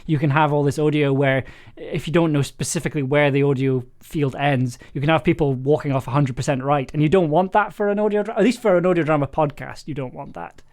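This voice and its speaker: A man's voice: on the high side, steady tone, quick pace, hardly any pauses, darkish tone. A man hosting a casual live stream about making audio drama, joking at his own expense and laughing readily. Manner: jokey, self-deprecating, prone to laughing. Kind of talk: casual livestream chat.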